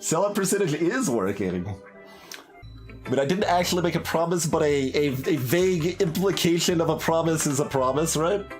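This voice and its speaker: A man's voice: on the high side, lively intonation, moderate pace. A man chatting casually on a live game stream, rambling and wryly joking as he goes. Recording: music behind the voice. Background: background music.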